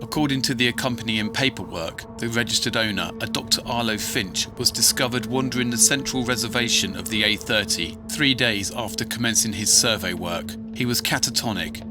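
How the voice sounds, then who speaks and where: Guy playing a grave investigator narrating a case log, lowish voice, music under it, formal and measured. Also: lightly acted. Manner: formal, measured, matter-of-fact. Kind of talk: scripted documentary narration.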